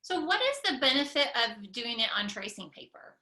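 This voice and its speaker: A woman's bright, fairly high voice rings with noticeable room echo. She hosts a casual interview, speaking with open enthusiasm and encouragement. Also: big pitch swings, normal speed, slight background noise. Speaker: interviewer, host. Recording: roomy, echoing sound.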